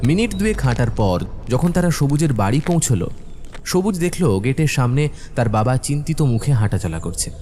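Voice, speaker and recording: A man's voice: mid-range voice, very animated, a little fast, mellow, slight background noise. A man narrating a scripted horror story as its storyteller.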